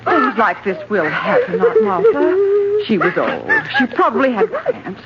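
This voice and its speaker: A brisk, coolly soothing younger relative in an old radio play. Her voice is high and lively in pitch, under loud recording noise. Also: creaky, dull audio, heavily acted. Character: brisk, coolly soothing niece-in-law tending aunts. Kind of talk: 1940s radio drama acting.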